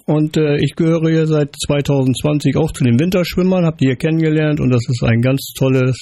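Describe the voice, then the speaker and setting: A man's voice: a little high, a little fast, mellow. A man with a northern German accent, introducing himself informally as a radio guest.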